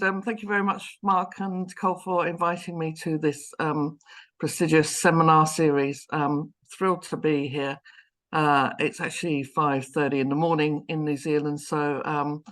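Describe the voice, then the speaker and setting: A woman's voice: low voice, fairly bright tone. Chatty London woman giving a talk. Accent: London English. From London, England. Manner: chatty, self-deprecating, with many restarts. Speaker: guest lecturer, professor. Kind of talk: online seminar talk. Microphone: video call.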